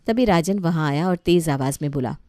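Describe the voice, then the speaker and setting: A woman's voice: deep voice, brisk, a little mellow, no room sound, clean studio sound. A woman narrating a scripted family comedy story as a storyteller.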